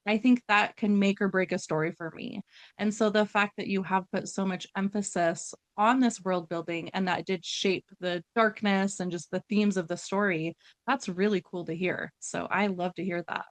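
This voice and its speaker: A woman's voice: mid-range voice, steady tone, moderate pace, no room sound. A woman hosting a book interview, enthusiastic and full of praise for her guest. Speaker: podcast host.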